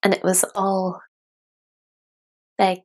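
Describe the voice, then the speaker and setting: A woman playing a disillusioned young dreamer in a solo dramatic monologue, reflective and deliberate, in short broken phrases. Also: medium pitch, completely flat delivery. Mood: wistful.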